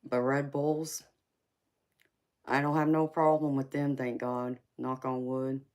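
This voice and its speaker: A woman in her forties speaks with a Southern twang in a very deep, low voice. She hosts a live tarot chat, laughing often and calling her viewers baby and honey. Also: little pitch movement, takes it slowly, darkish tone. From Georgia, US. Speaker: tarot reader livestream host. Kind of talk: casual live chat reading comments.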